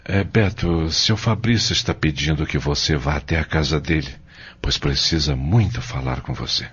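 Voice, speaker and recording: A husky, very deep-voiced Brazilian father. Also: fairly bright tone, exaggerated voice. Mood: hesitant. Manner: halting, hesitant. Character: Silva, middle-aged father, hesitant, courteous, unsure. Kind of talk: Brazilian radio drama acting. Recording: dull lo-fi audio.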